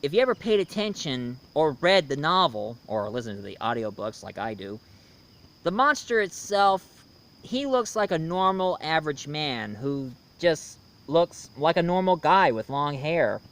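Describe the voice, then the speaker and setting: A man in his thirties giving a casual, unscripted review as the host, haltingly, often searching for words and repeating himself. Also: a little high, big pitch swings, fairly bright tone.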